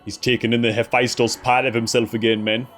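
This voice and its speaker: A man's voice: medium pitch, flat delivery, fairly quick, fairly bright tone. A man hosting a game reaction video with casual, slangy, exclamatory commentary. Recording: music under the voice.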